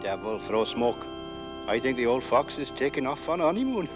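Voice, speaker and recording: Animated British village constable played by a man, muffled. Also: medium pitch, normal speed, faint music behind, lightly acted. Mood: amazed. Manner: rambling, folksy, animated. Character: excitable, gossipy, deferential village police constable. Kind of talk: 1970s horror comedy film acting. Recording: muffled old film soundtrack.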